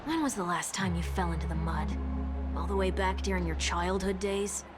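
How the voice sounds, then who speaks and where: A woman's voice: on the low side, normal speed, close to an everyday voice. A woman voicing a blunt young companion in a video game, teasing and coolly sarcastic in a dry way. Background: game soundtrack music.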